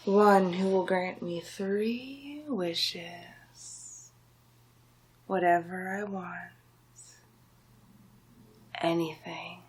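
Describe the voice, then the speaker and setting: A woman playing a scheming, power-hungry royal vizier in an ASMR villain roleplay, speaking softly and deliberately with theatrical menace and often dropping into a whisper. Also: fairly low pitch. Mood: sly, gloating. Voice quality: soft, breathy, half-whispered.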